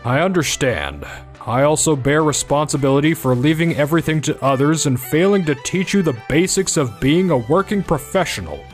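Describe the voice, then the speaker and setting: An actor playing a grave, middle-aged company president and father in a manga dub, formal and measured. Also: on the high side, lively intonation, faint music behind, close to an everyday voice. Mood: regretful.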